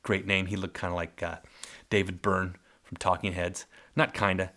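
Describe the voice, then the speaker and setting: A middle-aged man telling old work stories in a casual, rambling way, often correcting himself mid-sentence, with a loud voice. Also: deep voice, level tone, normal speed. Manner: rambling, self-correcting, warmly nostalgic storyteller. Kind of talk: casual spoken memoir. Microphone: close mic.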